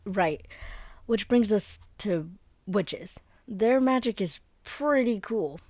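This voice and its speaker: Woman acting a brisk, flustered, friendly werewolf beta. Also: medium pitch, lively intonation, a little mellow, no echo. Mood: earnest, explaining. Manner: hesitant, rambling, informal explaining. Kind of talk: scripted one-sided roleplay audio drama, conversational.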